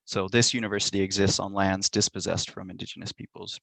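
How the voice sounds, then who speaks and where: A middle-aged man giving a measured, thoughtful academic talk over a video call, with frequent ums and uhs. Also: low voice, little pitch movement, creaky, a bit bright, no echo, poor audio. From Montana, United States. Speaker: university lecturer. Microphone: computer mic on a video call.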